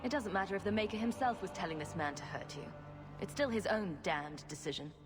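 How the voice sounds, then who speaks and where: A woman's voice: mid-range voice, brisk, over music, lightly acted. A woman playing a bold, sardonic and righteous adventurer in a fantasy video game, dry and confident. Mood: resolute. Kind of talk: dramatic video game acting.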